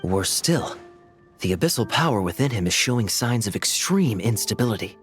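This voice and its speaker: Composed, animated young man. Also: on the low side, music in the background. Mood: grave. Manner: measured, articulate. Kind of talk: anime game voice acting.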